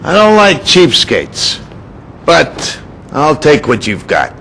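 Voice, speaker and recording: An actor playing a crooked, greedy, condescending cop in a video game. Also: male voice, fairly high pitch, huge pitch swings, slow pace, creaky, fairly bright tone, a little hiss or hum, full character voice. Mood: smug.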